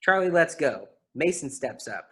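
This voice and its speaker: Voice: medium pitch, very animated, moderate pace, breathy edge. A narrator reading out a play's stage directions as scripted narration.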